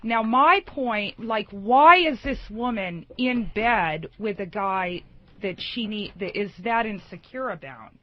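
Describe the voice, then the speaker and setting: A woman's voice: mid-range voice, animated, takes it slowly, dry recording. A woman, a comedian guest, chatting casually on a radio show, starting hesitantly and pushing back on points. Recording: dull, band-limited radio audio.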